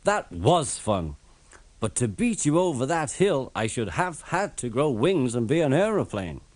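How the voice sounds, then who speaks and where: A man's voice: mid-range voice, sing-song, measured pace, darkish tone. A man voicing a friendly but boastful male bus in a children's storybook, teasing and breezy. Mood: good-natured.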